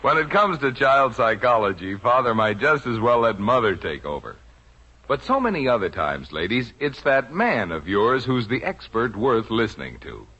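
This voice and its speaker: Casting: American male announcer for a 1950s radio ad, husky and persuasive, dull vintage sound. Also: mid-range voice, sing-song, moderate pace, pushed and bright. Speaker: commercial announcer. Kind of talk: 1950s radio advertisement, scripted persuasive pitch. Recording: muffled old radio recording.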